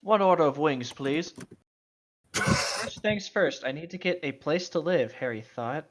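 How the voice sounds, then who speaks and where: A medium-pitched voice hosting a comedic reading show. The delivery is casual and playful, full of quips tossed in between stretches of reading aloud. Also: a little slow. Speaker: host of a bad fan fiction reading show.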